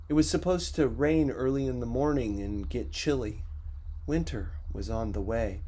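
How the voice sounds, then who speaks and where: A man reading a first-person story as an audiobook narrator, in a measured, conversational storytelling manner with a husky voice. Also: medium pitch, mellow.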